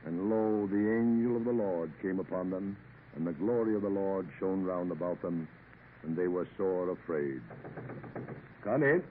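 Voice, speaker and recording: An actor playing a big, pious, gruff and bossy sea captain with a commanding manner in a 1950s radio drama. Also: male voice, deep voice, normal speed, lightly acted. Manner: commanding, blustery, hems before speaking. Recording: tinny, hissy old radio recording.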